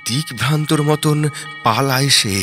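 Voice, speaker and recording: Man, slow dramatic horror narration, halting suspenseful phrasing, prominent background music. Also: mid-range voice. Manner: suspenseful, halting short phrases, dropping to hushed breathy tones. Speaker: audio story narrator.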